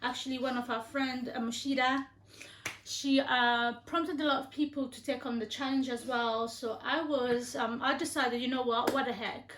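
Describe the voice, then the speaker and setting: A woman's voice: high-pitched, fairly even intonation, normal speed, fairly bright tone, slight background noise. A woman from Algeria chatting casually about her diet, hesitant, with many fillers and restarts. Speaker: co-host being interviewed. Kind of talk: casual conversational chat. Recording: echoey room.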